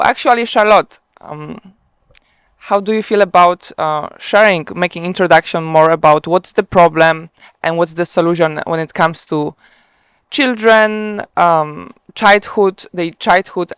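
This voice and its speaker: Polish-accented woman host, low-ish voice, unhurried and halting. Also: clean tone, no echo, studio quality. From Poland. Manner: hesitant, many ums and restarts. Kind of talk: live online interview.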